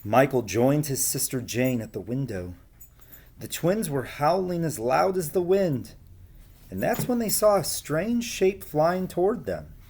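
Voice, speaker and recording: Man reading aloud with very lively pitch. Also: measured pace, slight background noise. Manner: expressive, addresses young listeners directly. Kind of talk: storybook read-aloud with casual asides.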